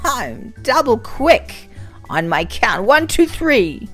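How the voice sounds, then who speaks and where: A man's voice: extremely high pitch, big pitch swings, slow, a bit bright, soft background music, pitched above the performer's own voice, full character voice. A man voicing a gleeful, bold old witch with a cackling, shrieking voice for a storybook read-aloud. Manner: theatrical, gleeful.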